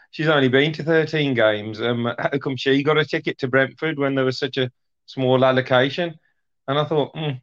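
Brief: football fan guest, easygoing anecdote, mid pitch, dull lo-fi call sound. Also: male voice. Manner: anecdotal, relaxed storyteller. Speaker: guest pundit. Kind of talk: casual chat.

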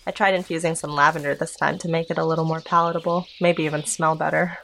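A woman's voice: low voice, flat delivery, quick pace, gravelly, sharp tone, full character voice. Exasperated young witch, noisy background. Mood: chatty, exasperated. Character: earnest exasperated young female witch, chatty and bossy. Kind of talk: audio drama dialogue.

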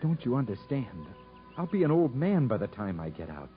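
An actor playing a bitter, weary convict in a 1950s radio drama, speaking in plain, clipped, folksy words. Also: male voice, mid-range voice, very animated, normal speed, close to an everyday voice. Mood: bitter, despairing. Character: bitter, despairing male convict, married, wary and proud. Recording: muffled 1950s radio broadcast with music.

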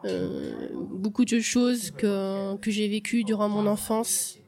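A woman's voice: medium pitch, fairly even intonation, takes it slowly, gravelly, a little mellow. A young woman from France, a podcast guest, speaks hesitantly and thoughtfully, with many fillers and restarts. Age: young adult. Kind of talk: reflective personal interview.

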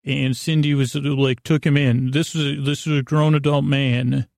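A middle-aged man with a creaky, gravelly voice, hosting a sleep podcast in a goofy, meandering, halting monologue that often repeats itself. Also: medium pitch, flat delivery, darkish tone, no echo, clean studio sound. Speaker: podcast host. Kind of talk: rambling bedtime monologue.

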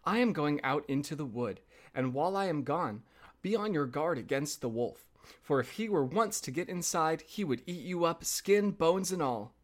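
A man's voice: fairly high pitch, lively intonation, moderate pace, voice pushed up, lightly acted. Guy reading old mama goat from a fairy tale, earnest, cautioning. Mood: earnest warning. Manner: earnest, warning.

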